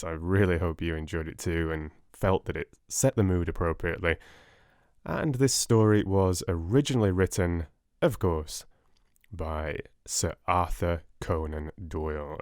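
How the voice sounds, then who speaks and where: A man narrating an audiobook in a measured, deliberate dramatic reading style. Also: low voice, a little mellow, no echo, clean studio sound.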